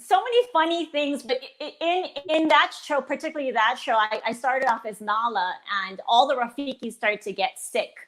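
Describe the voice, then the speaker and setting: A woman in her forties from the Philippines, a stage performer chatting in an interview. Her voice sits a little high, and she talks warmly and eagerly, often restarting her sentences. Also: normal speed, few pauses. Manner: warm and enthusiastic, with frequent restarts. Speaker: guest performer. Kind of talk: casual interview.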